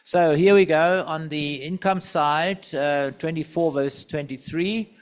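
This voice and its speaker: Loud man presenting financial report. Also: a little high, clean tone. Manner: loose, halting, many fillers and restarts. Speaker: treasurer giving financial report. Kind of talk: presenting at a meeting. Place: meeting hall.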